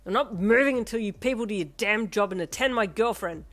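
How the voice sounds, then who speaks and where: A woman voicing a hot-tempered young man who yells gruffly and swears in a hospital. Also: medium pitch, a little fast, voice pushed up, natural voice. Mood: yelling furious.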